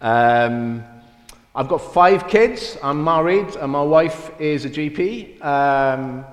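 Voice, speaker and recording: A man's voice: a little high, slow. A middle-aged man from Wales with a mixed Welsh and Cockney accent, a mission field director chatting in a self-deprecating way with many fillers during a church interview. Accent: Welsh with Cockney mix. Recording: echoey church hall, long reverb.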